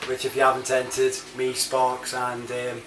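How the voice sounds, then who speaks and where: A man's voice: mid-range voice, completely flat delivery, moderate pace. A British man giving a casual, rambling monologue in a chatty, matey manner full of informal slang. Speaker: online reviewer and CB chat app user. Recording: roomy, distant mic, clear background noise.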